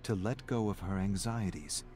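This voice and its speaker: A man playing a brooding narrator of dark game lore, reading with measured, deliberate drama. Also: low-pitched, faint music behind, lightly acted. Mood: brooding, ominous. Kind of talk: dramatic scripted story narration.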